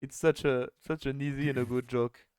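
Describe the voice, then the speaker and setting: A man's voice: medium pitch, steady tone, fairly quick, a little mellow, clear, resonant tone, no echo. A French man casting a strategy game in casual chat, laughing often and restarting his sentences. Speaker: game caster.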